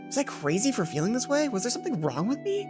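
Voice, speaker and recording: A woman's voice: a little high, huge pitch swings, fast, pitched above the performer's own voice. A woman voicing a lovesick, self-doubting schoolgirl heroine in a game, telling her story in a dramatic, introspective way over music. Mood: wistful, troubled. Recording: music behind the voice.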